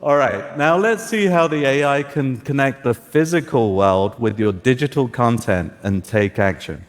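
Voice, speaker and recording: A man's voice: medium pitch, moderate pace. A man whose first language is Farsi, presenting a live tech demo on stage in an upbeat, guiding way. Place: stage in a large auditorium. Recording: echoey hall.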